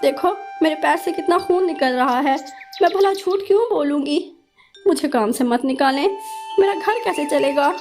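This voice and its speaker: An anxious, hard-pressed mother sparrow, as a woman voices her in a cartoon. She pleads and frets in a fairly high voice over background music. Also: close to an everyday voice. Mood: pleading. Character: poor, worried mother sparrow.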